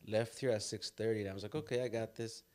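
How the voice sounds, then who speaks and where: A man from Los Angeles hosts a casual interview with a somewhat low voice. He asks questions in a loose, chatty way and drifts into animated stories, acting out voices and sound effects. He laughs along with his guest. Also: fast.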